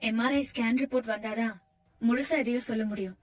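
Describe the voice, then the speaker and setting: A composed woman doctor, played by an actress in a TV drama, who explains and reassures in an even, soothing way. Her voice sits slightly high, with light music underneath. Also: fast, lightly acted. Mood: reassuring. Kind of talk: TV serial acting.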